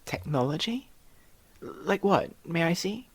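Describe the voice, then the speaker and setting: Someone acting a husky, curious young elf. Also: mid-range voice, animated, slow pace. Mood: startled, puzzled. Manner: inquisitive, slightly formal, hesitant stammers. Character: curious, proper young elf mage student from another world. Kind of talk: ASMR roleplay, one-sided dialogue with the listener.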